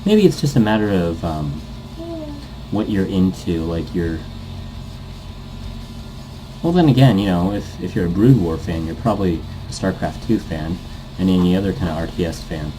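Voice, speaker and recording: A man's voice: sits low, lively intonation, normal speed, long pauses, a little hiss or hum, low-quality recording. A man hosting a game playthrough, rambling and thinking aloud in casual commentary as he reads game text and reacts to it. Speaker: host of a visual novel playthrough series.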